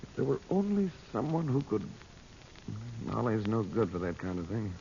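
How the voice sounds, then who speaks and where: An actor playing a middle-aged magician sleuth in an old radio serial, commanding but kindly, with a measured, thoughtful way of speaking. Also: male voice, lowish voice, sing-song, vocal fry, dark tone. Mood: concerned. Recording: muffled, hissy old radio recording.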